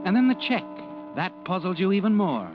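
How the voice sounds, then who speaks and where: A sinister old-time radio narrator who knows every secret. He is highish, taunting and very dramatic in his swings. Music plays behind him. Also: sing-song, brisk, dull audio. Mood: knowing, taunting. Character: sinister, all-knowing male narrator of crime tales. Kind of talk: 1940s radio mystery narration.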